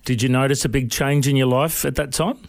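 A man's voice: medium pitch, fairly even intonation, fairly quick, gravelly. A man hosting an interview, chatty and friendly as he leads his guest through questions. His voice sits mid-range and is recorded close and dry, with no echo. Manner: friendly, calls the guest mate. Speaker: host.

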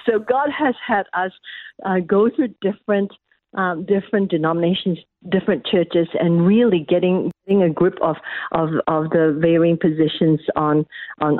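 A woman's voice: low voice, no echo. A middle-aged woman from Malaysia, an academic guest answering interview questions, hesitant with many restarts. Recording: tinny phone line.

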